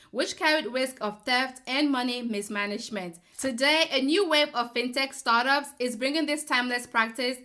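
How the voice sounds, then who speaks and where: A woman's voice: fairly high pitch, pushed and bright. A woman from Cameroon, a personal finance educator, giving a scripted, persuasive explainer full of rhetorical questions.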